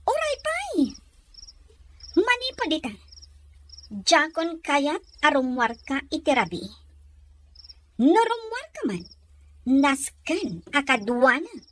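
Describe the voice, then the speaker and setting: Woman doing a naggy suspicious filipina lady in a radio drama, high voice, very animated, pushy. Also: slow pace, pauses often, a little hiss or hum, full character voice. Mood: jealous, scolding.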